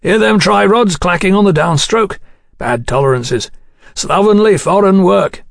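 Guy doing an eager engineer type for an audiobook, chummy n technical, pitched up, dry sound. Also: unhurried, gravelly, no room sound. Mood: loud, scornful. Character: keen, proud male British engineer explaining his machines.

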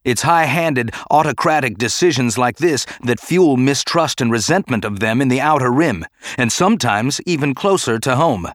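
A man performs an indignant middle-aged politician for an audiobook. The voice is mellow yet forceful and challenging, in a close, dry recording. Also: lowish voice, expressive, moderate pace, no echo. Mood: angry. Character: middle-aged male senator, indignant, hot-tempered politician. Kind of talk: dramatic audiobook character acting.

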